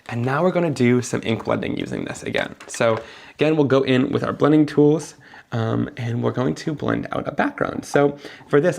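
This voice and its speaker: A man's voice: medium pitch, vocal fry, a little hiss or hum. A young man, sniffly after being sick, chatting enthusiastically as he narrates each step of a live card-making tutorial.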